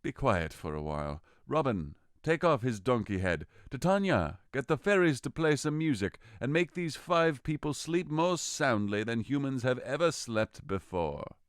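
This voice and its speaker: An actor playing a commanding fairy king in a modernized Shakespeare audio drama, with theatrical, expressive delivery. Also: medium pitch, moderate pace, few pauses, smooth, no room sound, clean studio sound, natural voice. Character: commanding fairy king, scornful yet relenting. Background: music.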